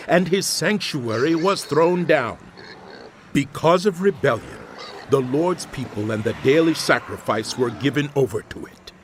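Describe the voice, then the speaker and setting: Man as troubled old-testament prophet telling visions, solemn n dramatic, medium pitch, slight noise. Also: natural voice. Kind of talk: dramatized scripture narration.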